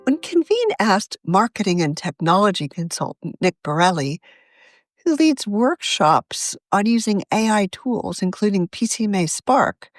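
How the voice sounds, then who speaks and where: Female narrator, lively pitch, measured article read, very dry recording. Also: dry recording. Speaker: magazine editor reading own article.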